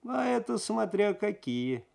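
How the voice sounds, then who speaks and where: A man's voice: sits high, sing-song, unhurried, creaky, darkish tone, pitched above the performer's own voice, heavily acted. An insolent male crook from a radio detective story, acted by a man reading. He drawls cockily in a raised voice, brazen toward his interrogator. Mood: insolent, cocky.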